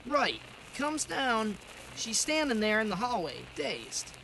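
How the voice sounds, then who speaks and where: A woman's voice: a little high, big pitch swings, takes it slowly, a bit bright, slight background noise, exaggerated voice, rough recording. A woman playing a matter-of-fact, skeptical police officer in an old-time radio drama.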